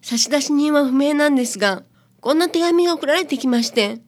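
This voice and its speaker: A woman's voice: high voice, animated, brisk. A woman playing a sexy, ladylike, coquettish client in a radio drama. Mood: coy, polite.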